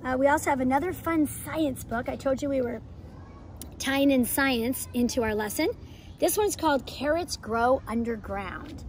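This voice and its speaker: An enthusiastic, expressive woman presenting a children's lesson and picture-book read-aloud as a homeschool educator. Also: high voice, normal speed. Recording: clear background noise.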